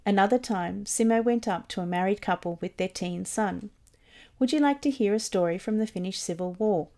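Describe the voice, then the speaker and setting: Female narrator for short religious stories, gently mellow timbre, mid-range pitch, reading from a script. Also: brisk. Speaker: volunteer reader of mission stories.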